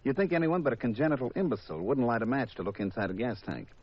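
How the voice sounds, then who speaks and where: A man's voice: medium pitch, sing-song, fast talker. An actor playing a cynical, long-winded insurance man in a 1950s radio drama, with an exasperated lecturing manner. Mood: exasperated, sardonic. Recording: muffled vintage 1950s radio recording.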